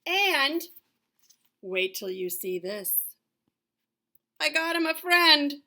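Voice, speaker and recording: Middle-aged woman, high-pitched, bright forceful tone, schoolteacher addressing small kids, simple question-and-answer phrasing. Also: big pitch swings, takes it slowly. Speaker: elementary school teacher. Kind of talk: lesson for young children, read to camera.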